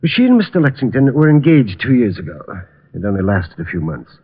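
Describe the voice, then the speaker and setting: An actor playing a formal, polite, slightly uneasy butler in a 1940s radio detective drama, with a husky voice and proper, measured manner. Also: male voice, medium pitch, big pitch swings. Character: formal, deferential male butler, uneasy under questioning. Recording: muffled, reverberant old radio recording.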